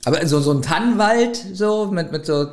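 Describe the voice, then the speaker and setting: A man's voice: high voice, expressive, normal speed. A man co-hosting a podcast in casual chat, laid-back, answering with dry remarks and short agreements. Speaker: podcast co-host.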